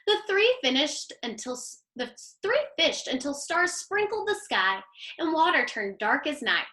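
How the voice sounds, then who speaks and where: A woman giving an expressive, teacherly picture-book read-aloud and putting questions to young listeners. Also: high voice, measured pace. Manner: animated, teacherly. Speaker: teacher reading aloud to children.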